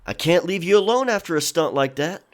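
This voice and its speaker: An actor playing an angry, gruff pirate quartermaster in an audio drama, scolding and grumbling bluntly. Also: male voice, fairly high pitch, lively intonation, a little fast, close to an everyday voice. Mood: exasperated. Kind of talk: full-cast audio drama acting.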